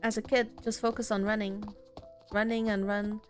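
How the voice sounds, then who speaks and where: A woman's voice: mid-range voice, little pitch movement, normal speed, faint music behind. A woman streaming a visual novel, reading the game's dialogue aloud and reacting casually.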